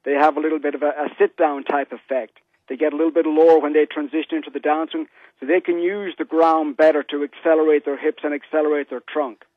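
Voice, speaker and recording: A man's voice: on the high side, level tone, quick pace, dry recording. A man with an Irish accent, an interview guest explaining things conversationally over a muffled telephone line. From Ireland. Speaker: interview guest, golf fitness entrepreneur. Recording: dull telephone line on radio.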